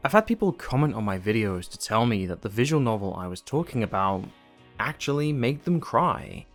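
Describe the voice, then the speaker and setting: Lively-voiced man narrating a video essay. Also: mid-range voice. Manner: wry, self-aware, conversational. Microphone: voice-over.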